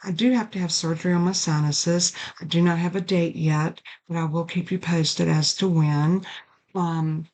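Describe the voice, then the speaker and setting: An older woman, raspy and hoarse from a sinus infection, hosting a casual card-making tutorial at her craft desk. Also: fairly low pitch, steady tone, moderate pace, darkish tone. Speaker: card-making channel host.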